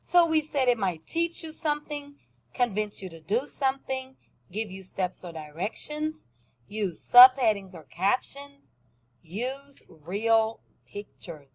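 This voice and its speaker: A woman's voice: medium pitch, lively intonation, takes it slowly. A woman teacher giving a lesson to young children, explaining simply, repeating points and asking questions. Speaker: first-grade teacher.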